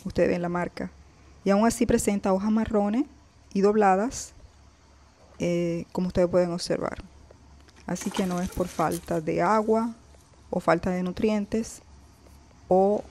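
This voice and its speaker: A woman's voice: medium pitch, darkish tone. A woman hosting a casual gardening vlog, chatting straight to her viewers and pointing things out as she films her plants outdoors on a balcony. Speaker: gardening vlogger.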